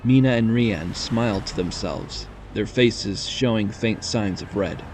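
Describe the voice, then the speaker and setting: A man's voice: on the low side, measured pace, a little hiss or hum. A man narrating a scripted audio drama in the third person, as a storyteller.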